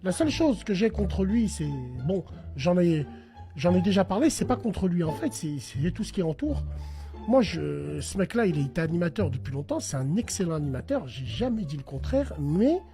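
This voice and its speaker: Animated high-voiced male radio host. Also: moderate pace, faint music behind. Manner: animated, swings from hushed to loud exclamations. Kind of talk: casual call-in banter.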